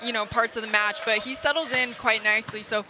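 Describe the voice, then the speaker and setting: A woman's voice: on the high side, fairly even intonation, a little fast. A woman giving conversational color commentary on a volleyball broadcast from an indoor arena. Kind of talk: conversational sports analysis. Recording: loud crowd and arena noise. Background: crowd, bouncing balls, music.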